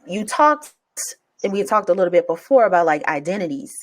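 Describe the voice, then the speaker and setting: A woman's voice: lowish voice, animated, brisk. A woman hosting a podcast, asking questions in a chatty, casual way with frequent backchannels. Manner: chatty, backchannels often, laughs. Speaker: podcast host. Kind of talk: casual interview conversation.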